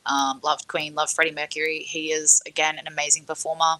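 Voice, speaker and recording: A woman with a slightly low but bright, forward voice. She talks casually as a guest on a livestream panel, effusive and rambling as she shares her memories and enthusiasms. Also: level tone, fairly quick, low-quality recording. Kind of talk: casual chat, reminiscing.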